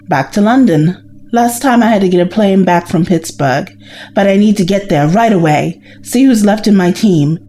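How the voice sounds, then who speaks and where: A woman playing a loyal, level-headed companion in an audio drama, gentle and matter-of-fact. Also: medium pitch, moderate pace, a little hiss or hum, close to an everyday voice.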